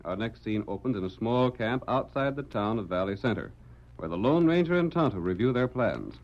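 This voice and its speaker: A man's voice: medium pitch, expressive, normal speed. A man announcing and narrating a radio western in formal, dramatic 1940s style. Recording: muffled vintage radio recording.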